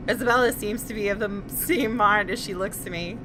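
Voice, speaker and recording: A woman's voice: mid-range voice, a little fast, music in the background, rough recording. A woman hosting a gaming video, reading visual novel narration aloud with dramatic expression.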